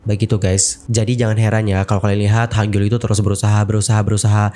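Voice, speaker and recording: A man's voice: fairly low pitch, little pitch movement, quick pace, dark tone. A man in his twenties with an Indonesian accent, narrating celebrity news in a casual, chatty vlog style and talking straight to his viewers. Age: 20s. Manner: chatty, addresses viewers as guys. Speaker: K-pop news YouTuber.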